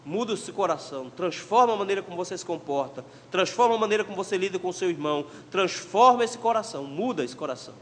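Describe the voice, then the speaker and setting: Pastor, male, Pernambuco accent, high voice, emphatic sermon delivery with soft asides, long church reverb. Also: lively intonation, quick pace, hardly any pauses, slight background noise. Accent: Pernambuco Portuguese. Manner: emphatic, swings between loud exhortation and quiet asides. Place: church sanctuary.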